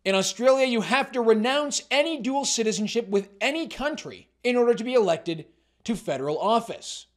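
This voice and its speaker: A man presenting opinionated political commentary in short, emphatic, deliberate phrases. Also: high-pitched.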